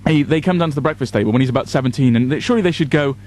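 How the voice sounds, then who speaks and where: A man's voice: mid-range voice, rapid-fire, darkish tone. A British man co-hosting a radio show, chatting casually with teasing, jokey remarks. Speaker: radio co-host. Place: radio studio.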